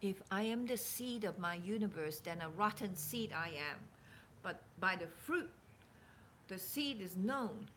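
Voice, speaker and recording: A woman reading spiritual teachings aloud in a deliberate, emphatic way, sometimes dropping toward a breathy near-whisper. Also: medium pitch, lively intonation, fairly bright tone, a little hiss or hum. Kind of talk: slow dramatic reading of spiritual dialogue with casual asides.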